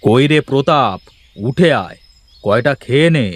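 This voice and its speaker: Cartoon village landowner, acted by a man: stingy, impatient, scolding, very animated pitch, unhurried pace. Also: no echo. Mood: bossy. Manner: scolding, bossy. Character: stingy, impatient village landowner hurrying his hired hand. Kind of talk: Bengali cartoon folk-tale voice acting.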